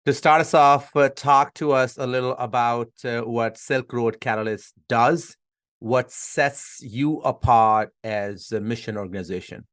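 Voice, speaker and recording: A man's voice: mid-range voice, a little slow, fairly bright tone. A man hosting an interview podcast, introducing his guest and asking questions. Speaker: podcast host.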